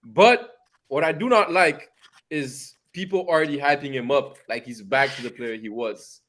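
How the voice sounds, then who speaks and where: A young man with a Haitian accent, co-hosting a podcast and arguing about football with animated energy. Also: mid-range voice, normal speed. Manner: animated, laughs and cuts in. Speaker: podcast co-host. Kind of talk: casual football debate.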